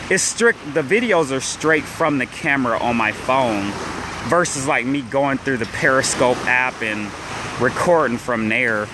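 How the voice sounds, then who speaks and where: A young man from central Illinois talks in a loose, rambling way, restarting sentences and leaning on "like." He speaks at a middling pitch into a phone held as he walks along the shore. Loud surf and waves wash steadily behind his voice. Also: background noise. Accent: downstate Illinois. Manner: rambling, many restarts and "like" fillers. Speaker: vlogger. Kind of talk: casual livestream chat. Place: beach at dawn, outdoors by the waves. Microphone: phone held while walking.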